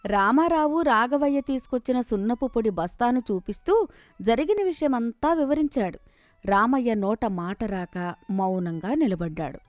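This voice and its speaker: Woman narrator, scripted storybook narration, steady, clear, higher voice, dry close sound. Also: normal speed, dry recording, faint music behind.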